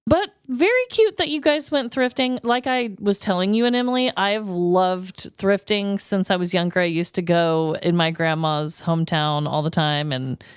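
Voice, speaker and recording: A woman co-hosting a podcast in casual comedic chat, expressive and emphatic, piling up lists and swearing casually. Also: fairly low pitch, moderate pace, hardly any pauses, clear, resonant tone, dry recording, clean studio sound. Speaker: podcast co-host.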